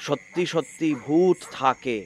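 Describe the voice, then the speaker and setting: A man acts out a timid young boy in a spooky cartoon. He speaks hesitantly and fearfully, with a fairly high voice. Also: measured pace, close to an everyday voice. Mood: frightened. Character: timid, easily frightened young boy detective.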